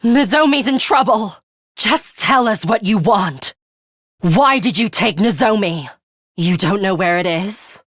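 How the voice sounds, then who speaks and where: A woman voicing a composed, battle-hardened young woman in an English video game, speaking in a deliberate, matter-of-fact way. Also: mid-range voice, lively intonation, fairly bright tone, no echo, clean studio sound. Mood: alarmed, desperate, then serious. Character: young woman, composed battle-hardened time-looper, coolly urgent ally.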